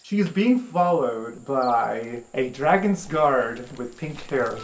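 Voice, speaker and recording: A woman's voice: extremely low pitch, lively intonation, takes it slowly, slight background noise. Woman narrating a tabletop game, roomy sound. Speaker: tabletop roleplay player. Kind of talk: improvised story narration. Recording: roomy sound, music underneath.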